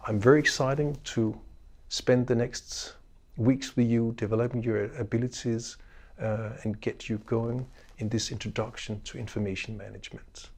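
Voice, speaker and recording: Professor, male, middle-aged, Danish accent; calm, unhurried lecture delivery, filler-heavy, average pitch. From Denmark. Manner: measured, unhurried delivery with frequent ums and uhs. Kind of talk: recorded course introduction lecture.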